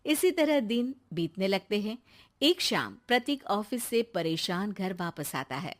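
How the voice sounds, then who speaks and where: A woman's voice: mid-range voice, big pitch swings, a little slow. A woman reading scripted narration for a family moral story.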